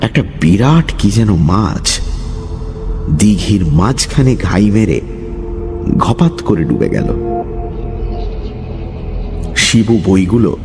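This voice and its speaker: A man narrating a scripted horror story dramatically, with suspenseful pauses. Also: lowish voice, sing-song, creaky. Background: music.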